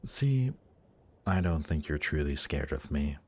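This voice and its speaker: Casting: male actor for suave demon prince of Hell, extremely low voice, deliberate and tempting, intimate fantasy audio roleplay. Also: very animated, full character voice. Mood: confiding, persuasive. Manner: slow, seductive, deliberate, gently coaxing. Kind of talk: intimate audio roleplay, scripted monologue to listener.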